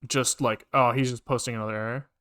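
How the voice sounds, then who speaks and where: A man with a medium-pitched, clear and bright voice talks casually to himself as he codes on stream. His delivery is halting and exploratory, with half-finished thoughts and questions put to himself. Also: fairly even intonation. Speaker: live-coding streamer, programmer. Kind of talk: casual thinking aloud while programming on a livestream.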